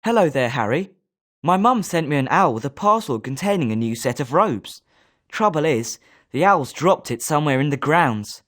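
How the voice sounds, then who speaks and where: A man's voice: on the high side, lively intonation, normal speed, a bit bright. A man playing a British schoolboy wizard in a video game, speaking scripted lines expressively. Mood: friendly, polite. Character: schoolboy wizard student, polite but sometimes sneering.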